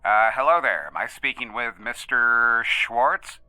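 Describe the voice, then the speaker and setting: Man acting as formal male researcher, hesitant, guarded, middle pitch, close dry recording, audio drama. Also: sharp tone, smooth, no room sound, low-quality recording. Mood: polite, awkward. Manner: polite, guarded, halting.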